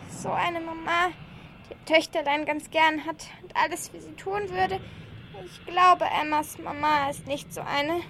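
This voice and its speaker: A woman acting a very high-pitched, bright old grandmother. She is lonely, fussing and motherly, in radio play style, with faint noise behind her. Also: fairly even intonation, a little slow, full character voice. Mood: sad, longing. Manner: fussing, chatty, sighing, uses southern German dialect words.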